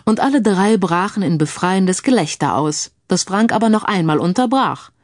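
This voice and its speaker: A woman's voice: medium pitch, lively intonation, normal speed, darkish tone, no echo, clean studio sound. A woman reading scripted narration for a crime audiobook.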